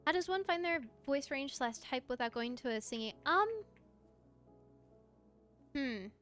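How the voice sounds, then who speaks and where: A woman chatting casually on a livestream as she plays, answering viewers in an expressive, encouraging, effusive way with a mezzo voice. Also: sits high, measured pace, soft background music. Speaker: VTuber live streamer. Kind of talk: casual livestream chat while gaming, answering viewer comments.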